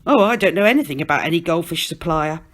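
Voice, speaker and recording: Storybook character, glum fairground trickster man played by a woman, bitter, sighing, needling, very varied pitch. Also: expressive, quick pace, fairly bright tone. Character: glum, bitter man who runs a fairground tickling hustle.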